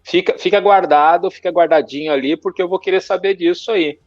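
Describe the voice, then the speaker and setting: A man hosting a casual interview show, lively, his voice jumping up when he puts his questions. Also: fairly quick, no room sound. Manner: lively, pitch jumps up when asking questions. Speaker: talk show host. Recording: dry, dull lo-fi sound.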